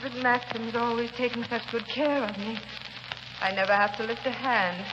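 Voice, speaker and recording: A woman's voice: fairly high pitch, steady tone, normal speed, lightly acted. A woman playing a frail, faltering invalid wife. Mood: fragile. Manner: hesitant, faltering. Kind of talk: 1930s radio drama acting. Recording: muffled old radio broadcast, reverberant, hiss.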